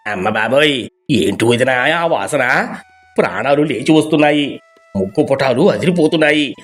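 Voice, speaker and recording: A man voicing an enthusiastic, food-loving village friend who exclaims and gushes in an animated moral story. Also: medium pitch, moderate pace, soft background music, close to an everyday voice. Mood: delighted. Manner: gushing, exclaiming.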